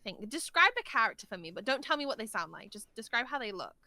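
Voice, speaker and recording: A woman's voice: on the high side, very animated, fast talker, pushed and bright, dry recording. A woman chatting casually as a podcast guest, playful and giggly, often rambling and hesitating as she works out what to say. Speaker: voice actor guest being interviewed.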